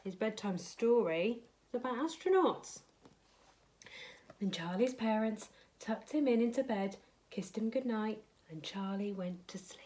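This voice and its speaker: A woman's voice: mid-range voice, lively intonation, measured pace, a little mellow, a little hiss or hum. A woman reading a children's bedtime story aloud, expressively dramatising it for young listeners.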